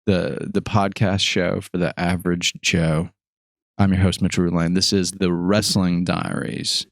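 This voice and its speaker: A man with a very low voice hosts a casual podcast chat, rambling cheerfully and stumbling over his own words. His voice sounds dry and close to the mic, with no room sound. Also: flat delivery, a little slow, creaky, no room sound. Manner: rambling, self-correcting, upbeat. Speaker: podcast host.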